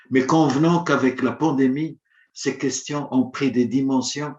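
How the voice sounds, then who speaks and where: Male conference speaker, first language Arabic; formal, measured, rhetorical; mid-pitched voice in a roomy space, dull lo-fi sound. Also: a little mellow. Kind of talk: formal prepared address.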